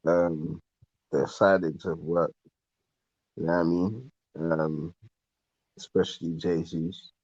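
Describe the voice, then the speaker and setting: A man's voice: sits low, steady tone, slow pace, pauses often, darkish tone, no room sound. A man giving his views as a football podcast panellist in casual chat, on a dull microphone.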